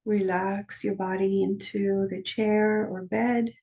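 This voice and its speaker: A woman teaching shamanic practice, leading a guided journey meditation over a video call, calm and slow when guiding and chatty when explaining. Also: medium pitch, slightly rough. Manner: chatty and candid when explaining, with soft laughs.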